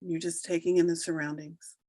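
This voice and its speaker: A woman leading a guided meditation, giving soothing, unhurried instructions with long pauses between phrases. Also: on the low side, flat delivery, warm tone, no room sound. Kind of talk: slow guided meditation narration.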